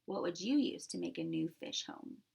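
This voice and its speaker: A woman reading a picture book aloud to young children, expressively emphasizing words and pausing to explain and ask questions. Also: on the low side, huge pitch swings, gravelly, darkish tone, slightly rough, muffled recording. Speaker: pre-K teacher.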